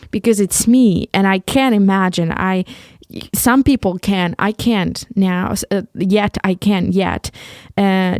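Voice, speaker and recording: A woman's voice: medium pitch, a little mellow, no echo, clean studio sound. A young woman from Ukraine, a native Ukrainian speaker, hosting a solo podcast in an earnest, hesitant way with many restarts. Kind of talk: casual solo podcast monologue.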